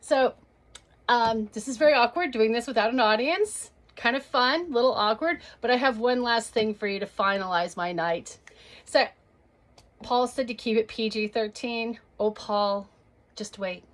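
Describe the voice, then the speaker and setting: A woman's voice: fairly high pitch, normal speed, fairly bright tone. A woman performing a stand-up comedy set to a webcam, telling stories and acting out the people in them. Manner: storytelling, acts out quoted voices.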